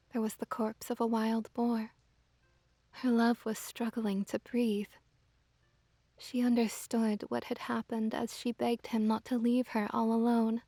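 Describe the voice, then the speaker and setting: Slow, whispery woman telling a story. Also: a little high, no room sound. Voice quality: breathy, whispery. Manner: soft, gentle, measured delivery. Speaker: ASMR storyteller. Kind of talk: ASMR scripted storytelling.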